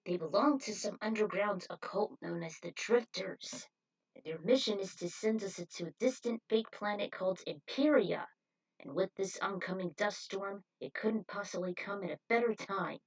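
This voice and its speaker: A woman's voice: mid-range voice, normal speed, close to an everyday voice. Woman putting on showy cowboy TV host. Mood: showy, upbeat. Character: showy TV cowboy bounty-show hosts. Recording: voice distant from the mic.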